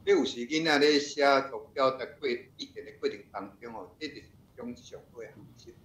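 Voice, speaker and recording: A man's voice: mid-range voice, a little fast, a bit bright. A man with a Taiwanese accent moderating an online class, informally inviting questions and adding comments. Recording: echoey online class audio.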